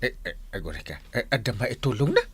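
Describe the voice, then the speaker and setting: A man playing a flustered, excitable village captain in a comedy drama, with an Ilocano accent and hesitant, sputtering exclamations. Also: medium pitch, a little fast, husky, exaggerated voice. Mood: flustered, pleading. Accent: Ilocano, Philippines. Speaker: barangay captain character.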